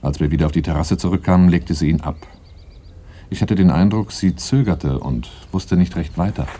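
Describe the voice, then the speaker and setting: An actor playing a wary, plain-spoken young bodyguard in a German radio play, both in dialogue and as narrator. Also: male voice, deep voice, normal speed, mellow, husky. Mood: reflective narrating. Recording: faint noise, 1980s radio play.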